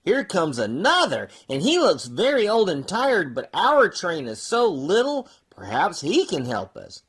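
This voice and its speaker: A woman's voice: fairly low pitch, huge pitch swings, unhurried, breathy edge, heavily acted. A woman voicing a small, hopeful male toy clown in a storybook, eager and exclamatory.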